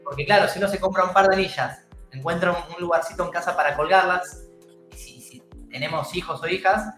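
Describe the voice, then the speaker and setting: A man's voice: high-pitched, brisk, fairly bright tone, soft background music. A man with an Argentine accent, a calisthenics instructor speaking as an enthusiastic, encouraging podcast guest over a video call. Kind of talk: casual interview chat. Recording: roomy echoey call audio.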